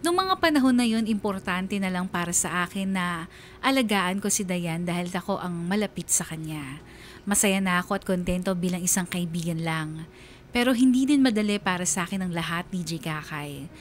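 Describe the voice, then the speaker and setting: A woman's voice: on the low side, normal speed, slight background noise, natural voice. A woman playing a lovelorn young woman, narrating her secret love earnestly and confessionally in a radio drama. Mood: aching, resigned.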